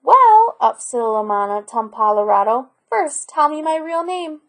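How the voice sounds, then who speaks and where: A woman's voice: high voice, sing-song, measured pace, fairly bright tone, voice pushed up, heavily acted. A woman voicing a spirited, inquisitive old grandmother in a storybook read aloud. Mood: delighted, teasing.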